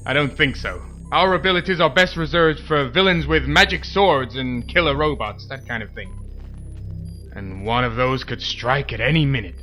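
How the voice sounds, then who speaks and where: An actor playing a self-important, penny-pinching superhero in a comedy audio drama, earnest and declamatory. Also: male voice, mid-range voice, moderate pace, pushed and bright, faint music behind, natural voice.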